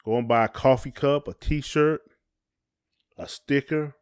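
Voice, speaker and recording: A man's voice: medium pitch, fairly even intonation, a little slow, smooth. A man co-hosting a podcast in a casual chat, with folksy, loose phrasing. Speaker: podcast co-host.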